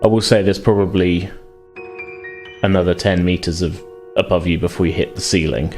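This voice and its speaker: Low-voiced man running a tabletop game. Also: steady tone, moderate pace, a little mellow, soft background music. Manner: hesitant, self-correcting, conversational. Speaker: game master. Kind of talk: improvised tabletop game narration.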